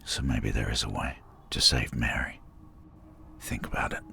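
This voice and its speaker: An actor playing an eager, theorising man in an audio drama, explaining emphatically in a gravelly, husky voice. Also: very deep voice, fairly even intonation, normal speed, pushed and bright, slight background noise, exaggerated voice. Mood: musing, persuasive. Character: David, eager theorising man lecturing on time travel.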